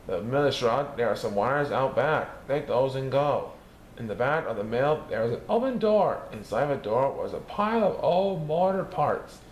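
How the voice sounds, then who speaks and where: A man reading a children's picture book aloud, lifting his voice high for the characters' lines. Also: normal speed. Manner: lively, raising his pitch for characters' lines. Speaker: storybook reader. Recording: echoey room with faint background noise.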